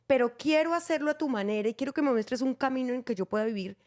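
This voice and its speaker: Woman host walking thru a bible verse, earnest, kinda high voice. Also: fast talker, a little mellow.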